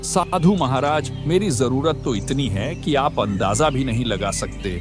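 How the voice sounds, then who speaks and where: A man voicing a greedy, scheming farmer in a cartoon moral story, theatrical and quick to turn scornful. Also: medium pitch, close to an everyday voice. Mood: scheming, pleading. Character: greedy, scheming male farmer and father, arrogant when crossed. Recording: loud background music.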